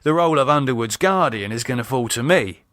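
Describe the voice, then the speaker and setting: A man's voice: medium pitch, lively intonation, a little fast, a bit bright, no echo, studio quality, natural voice. A man playing an uneasy, reluctant man with a dryly sarcastic, hesitant manner in audiobook dialogue. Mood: sardonic, anxious. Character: uneasy, sardonic adult man, wary and reluctant.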